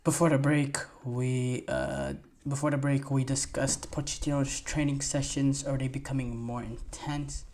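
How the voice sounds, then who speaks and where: A man's voice: medium pitch, lively intonation, measured pace, few pauses, a little mellow. A twenty-year-old man hosting a solo sports podcast, talking in a rambling, enthusiastic way with lots of fillers and restarts. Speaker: podcast host.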